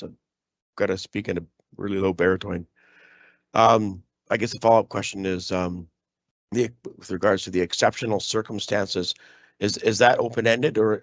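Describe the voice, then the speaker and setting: A man, a school trustee, losing his voice and clearing his throat, asking polite formal questions in a meeting. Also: low voice, dry recording. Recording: dull lo-fi meeting audio.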